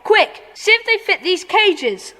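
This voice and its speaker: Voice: very high-pitched, sing-song, takes it slowly, sharp tone, exaggerated voice. An actor playing a bold, earnest schoolboy gang leader in a children's audio drama. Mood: urgent, relieved. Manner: earnest, commanding, urgent. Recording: echoey hall reverb.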